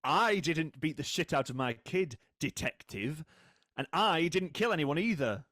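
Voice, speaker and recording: An actor in an audio drama playing a taunting, cold, vengeful British brother who speaks in a mocking, unhurried way. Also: male voice, on the high side, expressive, fairly bright tone, no echo, clean studio sound.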